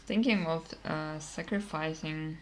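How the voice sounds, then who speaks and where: Female game streamer with a low voice, muses slowly over each move with lots of ums. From Kazakhstan. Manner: hesitant, musing, many pauses and fillers. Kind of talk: thinking aloud while playing a digital card game.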